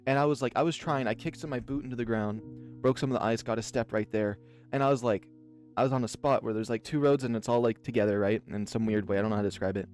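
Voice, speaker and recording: Guy rambling thru a story while playing a game, lots of "like", quiet music behind. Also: medium pitch, fast talker. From Oregon, USA. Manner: rambling, filler-heavy, animated anecdotes. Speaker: let's play host. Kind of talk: casual storytelling while playing a visual novel.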